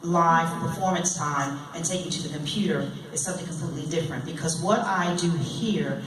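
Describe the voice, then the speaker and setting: A woman's voice: deep voice, fairly quick. A woman musician giving an informal live demonstration in a playful, chatty way, often checking in with her listeners. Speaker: musician and vocalist leading a master class. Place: indoor live workshop before an audience. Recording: echoey room, distant mic, noisy background.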